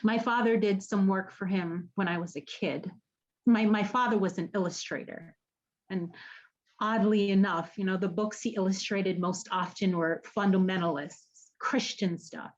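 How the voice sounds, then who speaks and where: An actress playing an earnest, haunted woman in an audio drama, speaking haltingly and searching for her words. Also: medium pitch, fairly even intonation, lo-fi sound, lightly acted. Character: earnest, haunted woman running an apocalypse support group. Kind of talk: naturalistic podcast radio drama acting.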